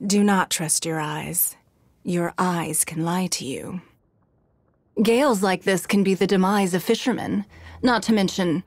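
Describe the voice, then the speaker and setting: A woman voicing a poised, calculating, wealthy noblewoman in a fantasy video game, speaking in a measured, formal and haughty manner. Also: on the low side, no room sound. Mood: composed, commanding. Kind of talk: video game character voice lines.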